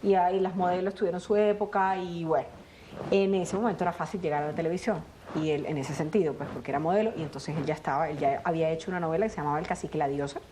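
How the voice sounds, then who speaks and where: A middle-aged woman from Colombia, a guest in a conversational interview, telling family stories in an animated way and acting out the dialogue. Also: fairly low pitch, rapid-fire, a little hiss or hum. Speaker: guest, actress.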